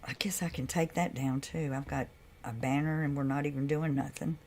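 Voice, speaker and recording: A woman hosting a live stream in a loose, chatty way, with a deep voice that carries. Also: steady tone, brisk, darkish tone, slight background noise. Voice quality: deep, carrying voice. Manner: loose and chatty, with laughs and asides to viewers. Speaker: livestream host. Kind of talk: casual live chat.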